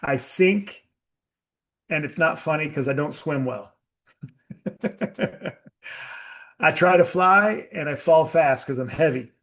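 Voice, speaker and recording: Workshop leader, male, slightly high voice, slow deliberate delivery, quizzes the room. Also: lively intonation, vocal fry, a bit bright. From United States. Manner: slow, emphatic, asks the audience questions. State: sweating. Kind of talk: live group workshop talk.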